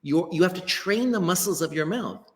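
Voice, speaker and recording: A man's voice: high voice, lively intonation, normal speed. A middle-aged man with a Western American accent, a language teacher speaking earnestly as a guest over a video call, often restarting his sentences. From western United States. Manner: earnest, emphatic with frequent restarts. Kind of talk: live-stream interview. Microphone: webcam on a video call. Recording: long hall-like reverb.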